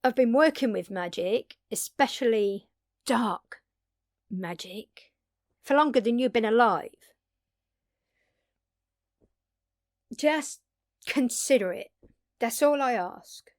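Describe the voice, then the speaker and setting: Woman acting as blunt vampire woman, earnest and pleading, slow halting delivery, dramatic pauses, close dry sound, audio drama. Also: on the high side, darkish tone, no room sound. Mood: earnest, persuasive. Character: earnest, blunt vampire woman, long-lived magic consultant. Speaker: voice actor.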